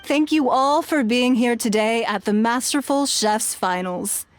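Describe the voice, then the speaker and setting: An actress playing a poised, authoritative noblewoman acting as judge in a game cutscene, with a measured, formal delivery. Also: a little high, a little hiss or hum, lightly acted. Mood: composed.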